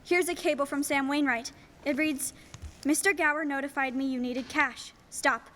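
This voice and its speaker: Woman as high-voiced earnest radio-play heroine. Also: fairly even intonation, moderate pace, a bit bright. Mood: excited. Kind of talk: stage radio-play acting. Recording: reverberant hall, faint noise.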